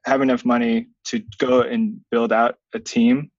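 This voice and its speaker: A man in his thirties answering interview questions conversationally as a guest, in a measured, hedging way. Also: medium pitch, flat delivery, no room sound, dull audio. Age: 30s. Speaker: software company founder, interview guest. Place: small plain indoor room. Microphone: webcam video call, wearing headphones.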